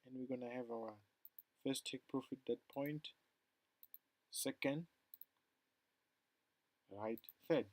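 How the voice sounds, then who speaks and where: A man casually talking through a forex chart on a screen recording, rambling and calling his viewers "guys", his loudness swinging from loud to mumbled. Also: mid-range voice, takes it slowly, pauses often, no room sound. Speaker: forex trading tutor.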